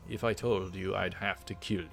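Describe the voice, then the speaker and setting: A man's voice: low-pitched, fairly even intonation, moderate pace, vocal fry. A man hosting a let's play, reading a visual novel aloud and adding casual, hesitant, rambling asides. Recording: faint music behind. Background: visual novel background music.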